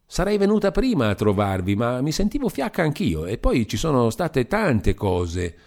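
A man reading aloud and voicing a lively, chattering young widow with a bright, teasing manner. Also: medium pitch, moderate pace, gravelly, voice pushed up. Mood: chatty.

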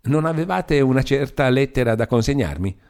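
A man reading aloud and voicing a lively, chattering young widow with a bright, teasing manner. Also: medium pitch, normal speed, creaky, voice pushed up.

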